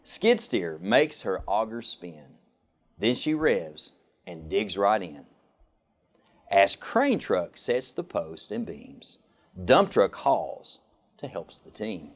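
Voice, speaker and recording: Male storybook reader, lower-pitched, unhurried, with expressive sing-song phrasing. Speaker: government official reading a children's picture book. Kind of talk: storybook read-aloud.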